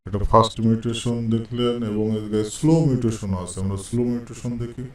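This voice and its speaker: A man presenting a software tutorial in a casual demonstrating style. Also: medium pitch, level tone, fast talker. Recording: echoey, distant voice-changer monitoring.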